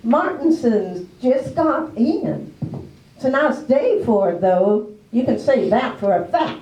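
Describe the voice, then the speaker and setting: An echoey, distant live stage recording of a woman acting a bossy small-town American farm wife. She speaks slowly in a highish voice, folksy and no-nonsense, like a mother running the household. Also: big pitch swings, dark tone, slight background noise, full character voice. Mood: neighborly. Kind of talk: readers theater stage acting. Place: live play in a library. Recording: echoey room, distant microphone.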